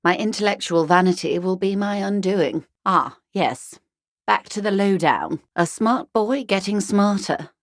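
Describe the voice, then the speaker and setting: A woman's voice: on the low side, no echo, studio quality. An actress playing a wealthy, worldly older woman in a video game, articulate, arch and wryly condescending. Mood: amused, urbane. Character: wealthy, worldly older woman, urbane, wry, intellectually vain. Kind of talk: video game character dialogue.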